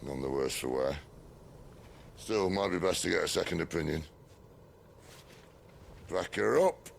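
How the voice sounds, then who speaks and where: An actor playing a worldly, gruff middle-aged outlaw leader in a video game cutscene, speaking in a dry, wry, unhurried way. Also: male voice, deep voice, animated, slight background noise, heavily acted. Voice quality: gravelly. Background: orchestral game score.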